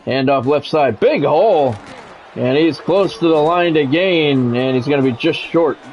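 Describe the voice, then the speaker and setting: Male game streamer, lightly higher voice, polished clipped play-by-play with casual asides, music in the background. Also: a little slow, a little mellow. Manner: practised radio-style play-by-play, clipped phrases. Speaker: streamer doing play-by-play of a football video game. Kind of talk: sports commentary mixed with casual chat.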